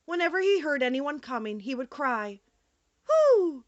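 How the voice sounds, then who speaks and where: A woman narrating a children's storybook in an expressive, dramatic storytelling manner. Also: sits high, moderate pace, no echo, dull audio. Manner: animated, storytelling delivery with dramatic emphasis. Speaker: audiobook narrator.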